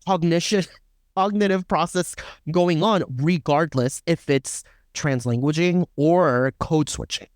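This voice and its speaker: Guy cohosting an academic podcast, talks it through out loud, keeps saying right, dry close sound. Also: a little high, unhurried, clean tone, dry recording. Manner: thinks aloud with fillers and restarts, often adds "right?". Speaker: podcast co-host. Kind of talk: casual academic discussion.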